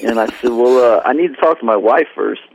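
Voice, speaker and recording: A man's voice: medium pitch, quick pace, slight background noise. A man as the guest musician in an interview, telling a story in a rambling, self-deprecating way and acting out the dialogue. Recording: dry tinny phone line.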